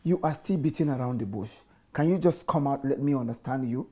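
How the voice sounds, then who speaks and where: A man's voice: mid-range voice, brisk, darkish tone, lightly acted. A man with a Nigerian accent playing a lecherous, blustering husband in a comedy film, stammering and repeating himself. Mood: evasive. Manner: stammering, evasive, repeats words. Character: lecherous, blustering husband caught flirting with the housemaid. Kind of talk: Nollywood comedy film acting.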